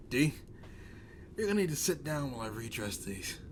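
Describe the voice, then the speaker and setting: A man playing a calm, caring friend tending wounds in an audio drama, measured and lightly wry. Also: mid-range voice. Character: calm, caring, drily humorous man acting as medic. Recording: clear background ambience noise.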